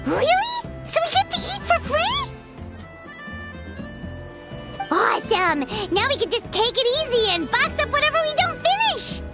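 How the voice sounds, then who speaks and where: A woman voicing a tiny, childlike, excitable fairy companion in a video game, cheerful, boastful and squeaky. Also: fairly quick, over music, full character voice. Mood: excited, boastful. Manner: squeaky, exclaiming, refers to self in third person. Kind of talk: video game character acting.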